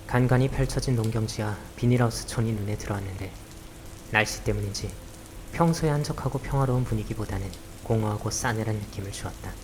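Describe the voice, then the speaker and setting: A man acting a dry, grumbling young man in a visual novel, curt and deadpan, with rain and crickets behind him. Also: on the low side, background noise, natural voice. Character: dry, grumbling young man, reluctant occult investigation helper. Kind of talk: visual novel acting with first-person narration.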